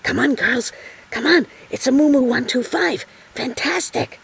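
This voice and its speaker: A woman voicing a cheeky, wisecracking male basset hound with a brash, slangy manner in a children's story. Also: measured pace, vocal fry, a bit bright, a little hiss or hum, full character voice. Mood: excited.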